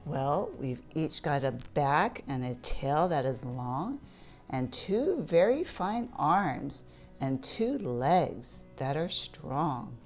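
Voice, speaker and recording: A woman's voice: low voice, big pitch swings, slow pace, soft background music. A woman reading a picture book aloud to young children in a playful, interactive storytelling manner. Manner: playful, asks listeners questions and points things out.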